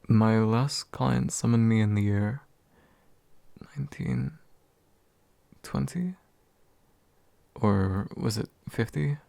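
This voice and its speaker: A courteous demon, acted by a man, speaks in a dark, mellow voice with a gravelly fry. He is gentle, hesitant and formally polite, taking his time in a soft ASMR roleplay meant to comfort. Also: lowish voice, takes it slowly, long pauses, dry recording. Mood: musing, genial. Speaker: ASMR voice actor.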